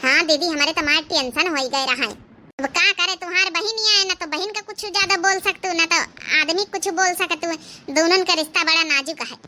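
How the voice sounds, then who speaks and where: A woman's voice: extremely high pitch, fairly even intonation, a little fast, bright, forceful tone, heavily acted. A woman voicing an aggrieved, scheming young village housewife in a homemade comedy cartoon, with an Awadhi accent and a dramatic, complaining manner. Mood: relieved, scheming.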